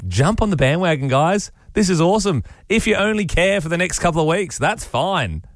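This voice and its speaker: A young Australian man, slightly hoarse, co-hosting a breakfast radio show with lively, laughing banter and quizzing. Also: sits high, dry recording. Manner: enthusiastic, quick, laughing. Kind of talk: casual radio banter and quiz. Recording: radio studio with faint music bed.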